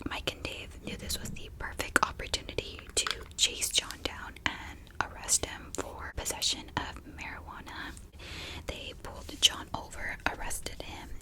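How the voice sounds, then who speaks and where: Female ASMR host, soft breathy whisper, unhurried, informal true crime narration. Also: rough recording. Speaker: ASMR video creator and host.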